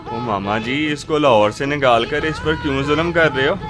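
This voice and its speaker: A man's voice: mid-range voice, normal speed, over music, natural voice. A man playing a brash, friendly neighbourhood barber in old Lahore, bantering colloquially in a radio drama.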